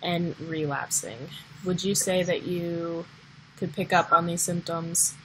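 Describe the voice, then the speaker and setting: A young American woman narrates a documentary from a script and puts questions to interviewees. Her voice sits a little low, with some noise behind it. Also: fairly even intonation, takes it slowly, bright, forceful tone, poor audio. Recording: noticeable background noise.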